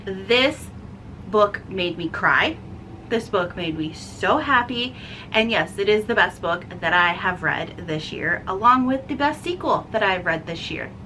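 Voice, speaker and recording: A woman's voice: medium pitch, lively intonation, a little slow, sharp tone, slight background noise, low-quality recording. A woman hosting a casual, chatty book vlog, talking enthusiastically and stressing words by repeating them. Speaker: book reviewer and video host.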